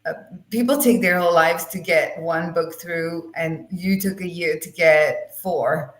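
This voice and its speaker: A woman hosting a conversational interview, pausing often as she forms long, hesitant questions. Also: lowish voice. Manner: hesitant, pauses while forming long questions. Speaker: live stream host, interviewer. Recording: roomy, echoey sound.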